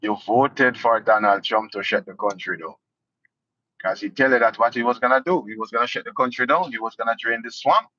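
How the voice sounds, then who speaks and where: A man's voice: mid-range voice, a little fast, sharp tone, dry recording. A Jamaican man giving casual political commentary, indignant and sarcastic. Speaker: vlogger. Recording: dull lo-fi dry sound.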